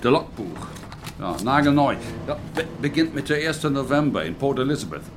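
A man's voice: mid-range voice, sing-song, fairly quick, close to an everyday voice. Casting: man acting an on-site investigator for radio drama, very varied intonation, matter-of-fact fragments, noisy setting. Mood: businesslike. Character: male investigator briskly presenting evidence on site. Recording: loud background noise and ambience.

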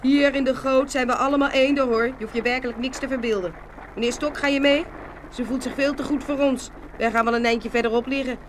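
A woman's voice: steady tone, fairly quick, close to an everyday voice, low-quality recording. A woman playing a slighted, down-to-earth toy marble in a children's fairy-tale radio play, matter-of-fact and aggrieved. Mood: scornful. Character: plain-spoken, slighted toy marble, scornful of snobs. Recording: faint noise and rumble.

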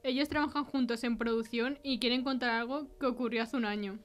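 A woman's voice: on the high side, steady tone, brisk. A woman with a Spanish accent from Spain, presenting and asking questions as the host of a mystery interview podcast.

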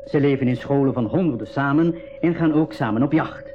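A man's voice: mid-range voice, brisk, music in the background, close to an everyday voice. An actor playing a courteous biologist and jungle explorer in his thirties in a school radio drama, explaining things politely. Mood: grave, vivid. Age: 30s. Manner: polite, explanatory, slightly hesitant. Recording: dull recording with clear background noise.

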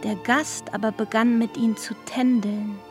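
A woman's voice: on the high side, fairly even intonation, moderate pace, lightly acted. A woman reciting fairy-tale passages in a radio play, in a lilting storyteller's manner. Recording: music under the voice.

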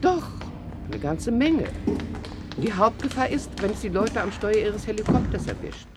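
An actress playing an overworked, matter-of-fact hospital nurse in a science-fiction radio drama. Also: female voice, mid-range voice, sing-song, normal speed, over loud music, close to an everyday voice. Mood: grim. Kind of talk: German science-fiction radio play dialogue.

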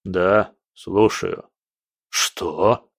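A man voicing a calm, stern older general who speaks in a grave, measured way. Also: deep voice, no room sound, studio quality, pitched below the performer's own voice, full character voice. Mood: stunned, hushed.

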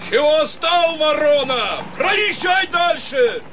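A rude town policeman in a radio play. He bawls in an extremely high, ugly shout, dragging his words out over loud crowd noise. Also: animated, slow pace, full character voice. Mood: angry, bawling. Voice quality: shrill, shouting.

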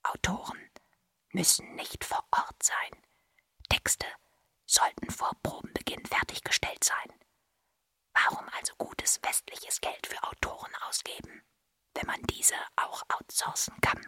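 Whispering, insinuating factory scriptwriter. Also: female voice, unhurried, pushed and bright, no echo. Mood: matter-of-fact, confiding. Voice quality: breathy, voiceless whisper. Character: insinuating female assembly-line script writer, unmasking the system. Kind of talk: whispered stage monologue in German.

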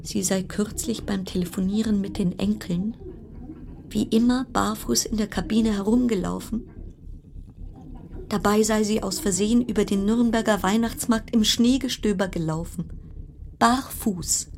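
A woman's voice: mid-range voice, fairly even intonation, a little slow, gravelly, darkish tone, a little hiss or hum. An actress in a radio drama playing a wry, anxious cruise passenger stranded at sea, telling her story in literary, broken-off sentences. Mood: dramatic, wry reporting. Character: weary woman passenger stranded on plague-stricken cruise ship, wry, anxious.

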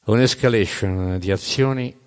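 Low-voiced male storyteller in a reverberant hall. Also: a little mellow, clear, resonant tone. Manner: emphatic, short measured phrases with dramatic pauses. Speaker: narrator of a storytelling stage show. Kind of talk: dramatic narrative storytelling about history.

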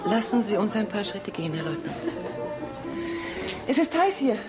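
Woman playing a seductive, self-assured society dancer, expressive delivery. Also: medium pitch, normal speed, natural voice. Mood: coquettish, assured. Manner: coquettish, teasing. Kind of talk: German radio play acting. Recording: studio radio play with music behind.

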